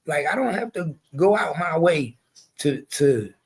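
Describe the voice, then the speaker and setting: A man's voice: on the high side, measured pace, vocal fry, breathy edge. A man, hosting a casual chat and telling stories bluntly and with lots of profanity. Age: 40s. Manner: blunt, profane, animated storytelling. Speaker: show host.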